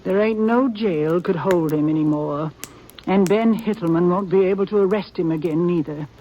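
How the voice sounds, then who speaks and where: An actress playing a stern elderly frontier grandmother in a 1950s radio drama, speaking plain rural talk in a grim, steady way over faint hiss. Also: fairly low pitch, moderate pace, natural voice, low-quality recording. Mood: bitter. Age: older. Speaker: grandmother of a young gunman.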